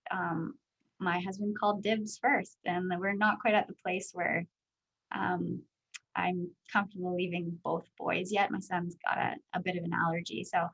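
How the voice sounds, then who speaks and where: A woman in her thirties from Canada with a high voice, answering interview questions as a guest speaker in a candid, chatty way full of fillers. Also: level tone, moderate pace, gravelly, a bit bright, rough recording. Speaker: guest speaker, company executive.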